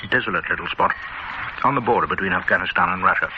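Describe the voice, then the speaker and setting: An actor playing a pompous older male gourmet, a club regular who talks in a smug, measured, teasing way in a radio drama. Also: deep voice, lightly acted. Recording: lo-fi old radio drama, heavy hiss.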